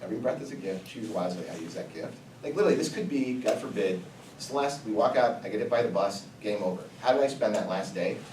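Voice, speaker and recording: Emphatic male speaker, low voice, heard from afar in an echoey room. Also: expressive, fast, darkish tone. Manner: emphatic, repeats key phrases for effect. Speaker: guest speaker. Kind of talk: energetic motivational talk to students. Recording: echoey room, distant mic, background noise.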